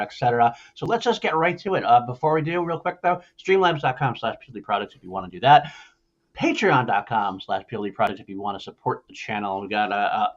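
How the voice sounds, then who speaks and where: A man's voice: fairly low pitch, muffled recording. A man hosting a casual, sweary movie podcast, speaking emphatically and opinionatedly and repeating himself for emphasis. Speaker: podcast host. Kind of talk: casual, profane movie news chat.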